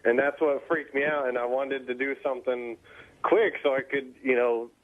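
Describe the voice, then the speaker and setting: A man chatting in a halting, vague way, stammering and trailing off before he finishes. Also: mid-range voice, steady tone. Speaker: show staffer. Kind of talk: casual chat. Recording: tinny phone-like radio line.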